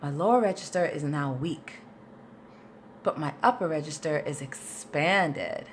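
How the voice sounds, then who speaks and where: A woman vocal coach with a notably low speaking voice, chatting through a live Q&A and answering viewers' questions informally. She breaks her explanations down patiently, step by step, with plenty of fillers and asides, and a faint hiss sits under her voice. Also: measured pace. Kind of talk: casual livestream Q&A, reading and answering viewer questions.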